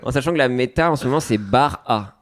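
Lively French podcast host, animated pitch. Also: male voice, quick pace. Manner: lively, laughs loudly, leads the game. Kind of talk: comic podcast banter.

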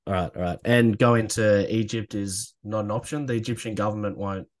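A man hosting a podcast, soft-spoken and gentle in a casual interview. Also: on the low side, fairly even intonation, fairly quick, dry recording, studio quality. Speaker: podcast host.

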